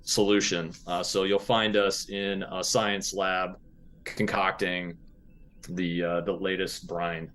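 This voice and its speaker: Male tabletop player, deep register, casual improvised chat, halting and deliberate, faint background noise. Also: flat delivery, a bit bright.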